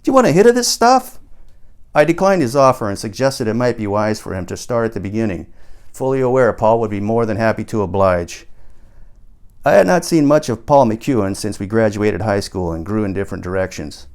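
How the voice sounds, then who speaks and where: A man in his sixties narrates a first-person book as its author. His voice sits on the low side, and he reads in the manner of an audiobook. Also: animated, brisk, a little mellow. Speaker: author reading own book.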